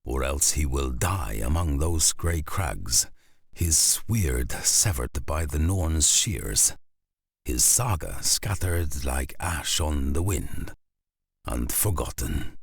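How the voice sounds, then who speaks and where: Very deep, gravelly saga narrator. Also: male voice, lively intonation, dry recording, studio quality, exaggerated voice. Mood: grave, ominous. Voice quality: gravelly, husky. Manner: portentous, slow storytelling. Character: ominous saga-telling Norse narrator, grave and fateful. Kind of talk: dramatic scripted narration.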